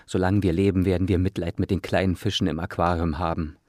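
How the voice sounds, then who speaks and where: Actor as solemn moralist, very deep, earnest, reproachful, dry sound, radio play. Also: male voice, little pitch movement, fast, a little mellow, no room sound, close to an everyday voice. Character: solemn, reproachful man pitying helpless fish. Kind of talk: German radio play acting.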